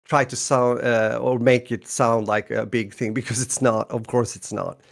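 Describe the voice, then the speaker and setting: Swedish male podcast host, middle-aged, gravelly voice, rambly and laughing. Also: medium pitch, level tone, moderate pace. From Sweden. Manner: rambling, with frequent restarts and laughs. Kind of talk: casual chat.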